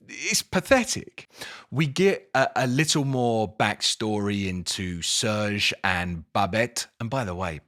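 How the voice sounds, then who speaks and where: An English man giving a casual spoken book review, expressive, with dramatic emphasis and incredulous questioning. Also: fairly high pitch. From England.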